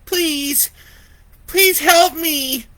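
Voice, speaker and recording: A man voicing a timid, frightened little girl in a storybook, halting and stammering weakly. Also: very high-pitched, voice pushed up, exaggerated voice. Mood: scared.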